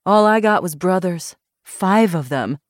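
A woman voicing a sensible, acid-tongued woman friend in a novel, dry and measured. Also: fairly low pitch, animated, no echo.

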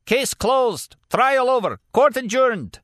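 A man voicing a mad, pompous middle-aged warlord who blusters and shouts in a high, theatrical voice. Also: very animated, slow pace, no room sound, studio quality, full character voice. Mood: blustering.

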